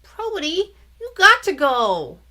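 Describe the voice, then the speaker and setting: A woman voicing a small, scared little boy who pleads and stammers in a high, whiny voice. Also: wildly swooping pitch, slow pace, pushed and bright, heavily acted. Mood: pleading.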